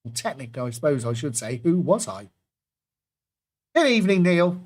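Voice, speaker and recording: A man's voice: fairly high pitch, sing-song, moderate pace. A man hosting an online pub quiz, reading out clues and adding jokey asides between them.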